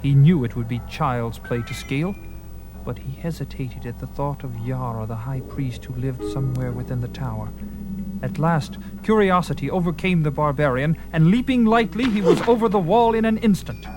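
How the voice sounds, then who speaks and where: A man's voice: mid-range voice, moderate pace, low-quality recording. A man giving theatrical, suspenseful narration of a dramatized story. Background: music.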